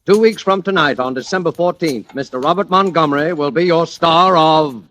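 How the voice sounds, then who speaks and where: A man's voice: fairly high pitch, moderate pace. A man announcing a 1940s radio drama program. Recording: old radio broadcast over loud music.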